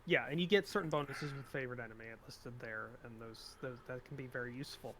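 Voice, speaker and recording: A man's voice: medium pitch, fast talker, crowd noise. A man chatting casually as he runs a tabletop game as game master.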